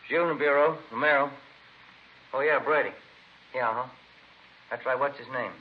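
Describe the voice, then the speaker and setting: An actor playing a plain-spoken, conversational police detective in a 1950s radio crime drama. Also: male voice, mid-range voice, normal speed, natural voice. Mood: businesslike. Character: matter-of-fact male police detective, sergeant's partner. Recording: muffled vintage radio recording, faint noise.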